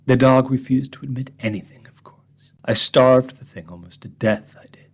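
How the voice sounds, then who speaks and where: A man reading as a husky, whispering drunk madman. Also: medium pitch, normal speed. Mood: menacing. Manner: swings from hushed whispers to sudden sharp rises, mocking. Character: unhinged drunk man confessing to strangers. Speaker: horror story reader. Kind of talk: first-person horror monologue reading.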